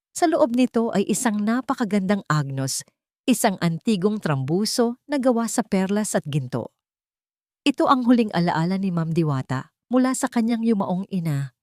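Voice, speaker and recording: A woman's voice: medium pitch, a little mellow, no room sound, studio quality. A woman storyteller reading a scripted, dramatic narrative.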